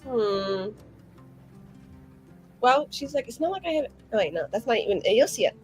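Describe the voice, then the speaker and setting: A woman at a tabletop game, talking through her character's plans in long, rambling stretches. Her pitch swings widely and animatedly, and she breaks into laughter often. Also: lively intonation, normal speed, over music, rough recording. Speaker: player. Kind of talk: playing a tabletop character, casual chat.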